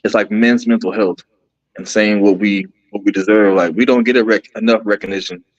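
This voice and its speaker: A man's voice: on the low side, fairly even intonation, a little fast, muffled recording. A man, a podcaster and musician, talking earnestly in a casual interview with frequent restarts. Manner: earnest, rambling, many restarts.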